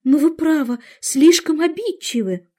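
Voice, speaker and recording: An eager, impulsive young storybook girl, voiced by a woman. Her voice is high, and she speaks politely and earnestly, in exclamations. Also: animated, a little slow, dark tone. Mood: eager, pleading.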